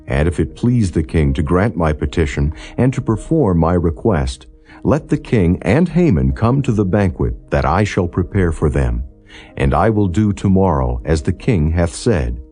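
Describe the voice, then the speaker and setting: Man reading as a resolute queen, low and husky. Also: very animated, normal speed, mellow, soft background music, full character voice. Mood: deferential, formal. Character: brave, resolute young queen.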